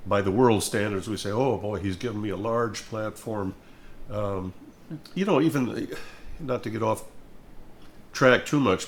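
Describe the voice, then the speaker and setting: An older man in an earnest, reflective devotional conversation, speaking in a preachy way and repeating phrases for emphasis. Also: lowish voice.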